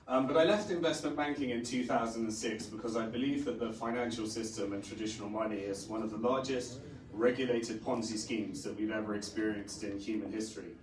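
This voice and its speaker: A middle-aged man with a hoarse, croaky voice gives a rambling conference talk, full of fillers. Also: fairly low pitch, fairly quick. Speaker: conference speaker. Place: conference stage. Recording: echoey hall, distant mic, faint noise.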